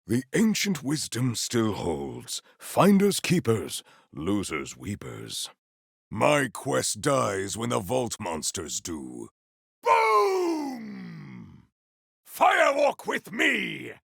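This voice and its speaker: A man voicing a righteous, grandiose game warrior on a holy quest, with a gravelly, forceful voice and archaic, theatrical declamation. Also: mid-range voice, wildly swooping pitch, very slow, no room sound, clean studio sound, heavily acted. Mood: solemn, musing. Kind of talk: video game combat voice lines.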